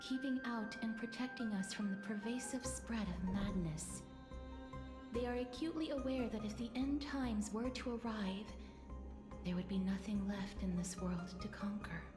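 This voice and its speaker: An actress playing an earnest young companion in a video game, speaking with formal, solemn reverence. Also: female voice, mid-range voice, normal speed, lightly acted. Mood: reverent. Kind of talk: dramatic video game acting. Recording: echoey, music underneath.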